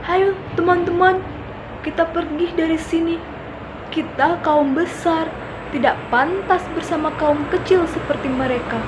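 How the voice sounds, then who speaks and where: A woman's voice: extremely high pitch, measured pace, voice pushed up. A woman doing a boastful jeering elephant. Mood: scornful. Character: arrogant mocking big elephant. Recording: noisy background with passing traffic.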